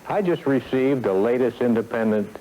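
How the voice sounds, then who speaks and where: An American man delivering a scripted sales training lecture as a company executive, in a measured, formal manner with emphatic phrasing and deliberate pauses, on a 1970s film soundtrack. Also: medium pitch, expressive, highs cut off, a little hiss or hum.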